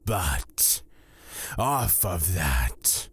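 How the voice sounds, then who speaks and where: Man acting as a slow, measured god of fear. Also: low-pitched, big pitch swings, fairly bright tone, slightly rough, full character voice. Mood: grave, deliberate. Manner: formal, slow and measured, drawn-out phrasing. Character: calm, courteous male god of fear, amused and commanding. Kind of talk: scripted fantasy audio drama.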